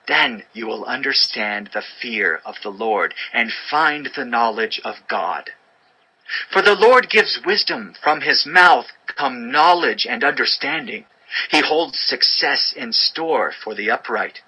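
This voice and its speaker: A man narrating a dramatized audio Bible in a solemn, measured, earnest manner. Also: mid-range voice, sharp tone, slightly rough, poor audio. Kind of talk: dramatized scripture reading. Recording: background music under voice.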